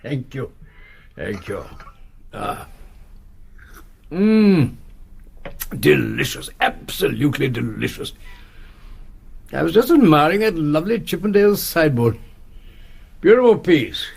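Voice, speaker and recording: Gravelly, flustered old English colonel. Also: male voice, on the high side, sing-song, measured pace, long pauses, a little mellow, exaggerated voice. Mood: genial, ingratiating. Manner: flustered, stammering, hearty. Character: blustering, apologetic gentleman colonel, eager to please. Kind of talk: radio play acting.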